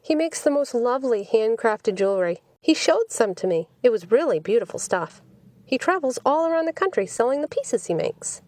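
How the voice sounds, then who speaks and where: A woman voicing a sweet, chatty young woman who is easily exasperated, in an animated audiobook reading. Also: a little high, normal speed, dry recording, pitched above the performer's own voice. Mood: chatty, delighted. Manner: animated, indignant when challenged.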